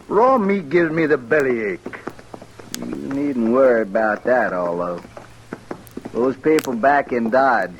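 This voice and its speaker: Casting: uneasy, bickering western outlaw, slow rustic drawl, medium pitch, vintage radio drama with light noise. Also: male voice. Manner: drawling rustic frontier speech. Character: two outlaws on the run, bickering, uneasy frontier men. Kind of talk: old-time radio western acting. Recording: noisy vintage radio recording.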